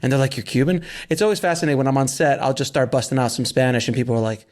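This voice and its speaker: A man from South Florida hosting a podcast, chatty and quick to laugh, often restarting his sentences. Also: medium pitch, a little mellow. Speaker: podcast host. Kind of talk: casual interview chat.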